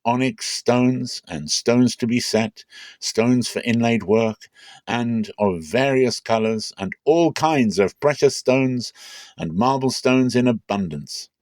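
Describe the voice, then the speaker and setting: A man's voice: mid-range voice, slow, a little mellow, dry recording, lightly acted. A man playing an aging, fatherly king of Israel, solemn and commanding, in a declamatory scripture reading. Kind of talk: dramatic scripture storytelling.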